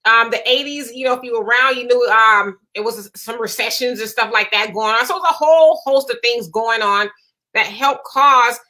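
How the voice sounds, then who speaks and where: Bright, chatty woman in her forties. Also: on the high side, moderate pace. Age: 40s. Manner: animated, chatty, makes points with rhetorical "right?". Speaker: co-host. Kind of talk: casual live discussion.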